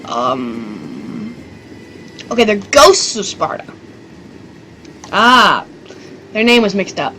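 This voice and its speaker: A woman hosting her own channel, giving loose, excitable commentary on a strategy game battle, rambling and swearing now and then. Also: mid-range voice, very slow, pauses often, slight background noise. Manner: rambling and excitable, swears, does silly voices. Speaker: gaming channel host.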